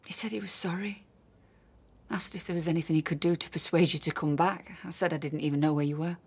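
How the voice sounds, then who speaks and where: Grieving wife, husky-edged voice. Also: female voice, lowish voice, fairly even intonation, fast talker, a little mellow. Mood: confiding, needy. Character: grieving, trusting, lonely abandoned wife. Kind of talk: naturalistic radio drama acting.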